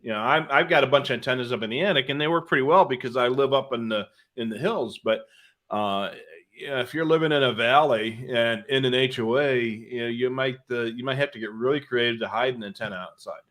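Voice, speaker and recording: A man's voice: mid-range voice, a little fast, a bit bright. A man co-hosting a casual live hobby stream, conversational and opinionated, explaining things with little asides. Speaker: co-host of a live hobby show about police scanners.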